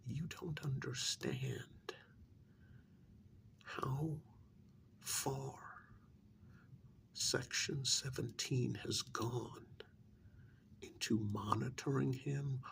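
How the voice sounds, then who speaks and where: A man playing a stern, secretive government handler in an ASMR role-play, speaking softly in a pointed, reprimanding, deliberate way. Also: medium pitch, a little hiss or hum. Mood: insistent, secretive. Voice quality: breathy near-whisper with gravelly creak. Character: stern, secretive male government handler scolding an undercover agent.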